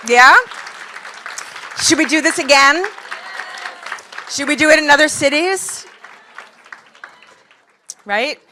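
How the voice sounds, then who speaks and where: A woman's voice: medium pitch, bright, forceful tone. A woman in her fifties, a nonprofit founder giving candid, chatty closing remarks to a live audience and rallying them with questions. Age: 50s.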